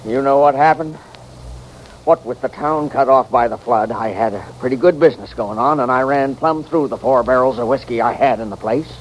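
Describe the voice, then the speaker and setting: An actor playing a hot-tempered, put-upon American saloon keeper in an old-time radio western, blustering in a folksy, exasperated drawl. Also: male voice, mid-range voice, brisk, lightly acted, poor audio. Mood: aggrieved. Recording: vintage radio recording with hiss.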